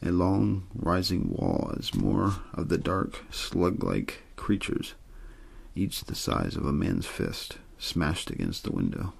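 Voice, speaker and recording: A man reading a story aloud in ASMR bedtime style, with an understated, untheatrical delivery and a gravelly, husky voice. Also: sits low, sing-song, slow. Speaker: amateur audiobook reader.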